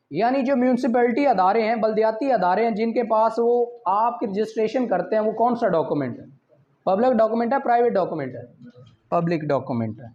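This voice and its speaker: Pakistani-accented male law tutor, high voice, unhurried reading of exam questions, slightly dull lo-fi sound. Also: a little mellow. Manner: reads English questions slowly, explains in Urdu. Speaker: law teacher. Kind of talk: exam prep lecture, reading questions aloud then explaining.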